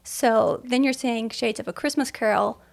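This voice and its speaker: A woman's voice: fairly high pitch, steady tone, moderate pace, vocal fry. A woman who directs theater, speaking as a podcast guest in a casual, explanatory interview style.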